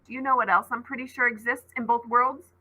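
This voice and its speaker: A woman's voice: on the high side, steady tone, a little fast, pushed and bright, poor audio. A woman voicing a clever, defiant young girl heroine in a storybook, bold and emphatic. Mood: triumphant.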